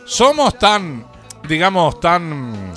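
A man with a Canarian Spanish accent co-hosting a radio show in casual comic banter, excitably repeating words for emphasis. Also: fairly high pitch, drawn-out words, faint music behind.

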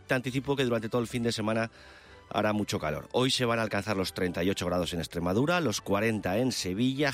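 A man's voice: on the low side, a bit bright. A man presenting a live radio news chronicle in a descriptive, eloquent manner. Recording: music bed under the voice. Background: background music.

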